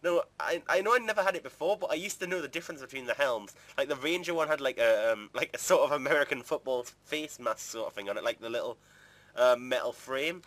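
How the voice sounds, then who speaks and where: A man with a mid-pitched voice records casual gameplay commentary. He talks informally and chattily, rambling from one point to the next as a video creator would. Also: fast, a bit bright, no room sound.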